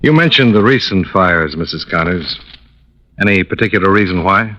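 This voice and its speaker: A man's voice: deep voice, lively intonation, moderate pace, sharp tone. An actor playing a cool, probing insurance investigator who narrates his case in a clipped, businesslike old-time radio detective style. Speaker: lead character and narrator. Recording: dull, band-limited vintage radio recording.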